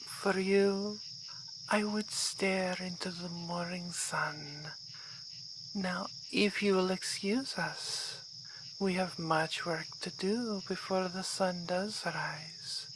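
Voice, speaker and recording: A woman's voice: fairly low pitch, steady tone, takes it slowly, background noise. A woman playing a poised, gracious vampire baroness in an ASMR roleplay, speaking in formal, courteous phrases. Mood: gracious, composed.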